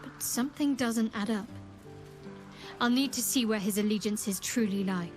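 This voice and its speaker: A woman's voice: on the high side, normal speed, a little mellow, soft background music, natural voice. A woman playing a guarded, devout young cleric in a video game, cool and reserved in manner. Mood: wary.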